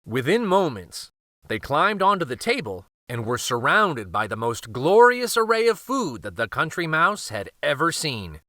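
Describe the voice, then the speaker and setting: A man narrating a children's storybook aloud, expressive and careful, with dramatic pauses. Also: fairly high pitch, dry recording, clean studio sound.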